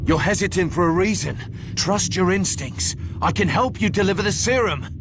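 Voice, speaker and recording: An actor playing a tough, hot-headed soldier hero in a video game, gruff and urgent, with loud music behind him. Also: male voice, high-pitched, moderate pace, background noise. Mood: urgent, pleading. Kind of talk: dramatic video game acting.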